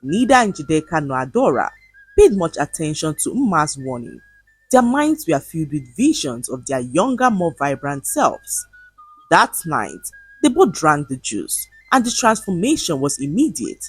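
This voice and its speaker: A woman narrating a folktale from a script in an expressive, dramatic storytelling manner. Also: low-pitched, measured pace, breathy edge, no room sound, soft background music.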